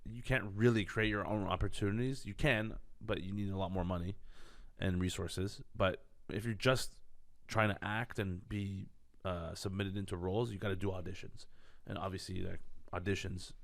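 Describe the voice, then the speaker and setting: A man's voice: low voice, steady tone, normal speed, vocal fry, fairly bright tone. A man from Canada, a comedian guest, talking candidly and self-assuredly in a studio interview. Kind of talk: casual interview talk.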